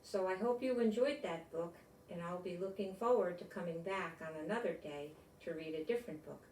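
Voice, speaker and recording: An older woman reading a picture book aloud with chatty asides, explaining gently and simply as if to children. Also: fairly low pitch, a little fast, slight background noise. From United States. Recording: roomy echo, distant microphone.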